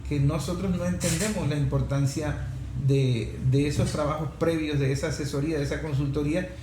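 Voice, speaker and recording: A man's voice: a little high, fairly even intonation, fairly quick. A Venezuelan man speaking as an interviewer, explaining conversationally and repeating phrases for emphasis. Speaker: interviewer, show host. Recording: echoey room with faint noise.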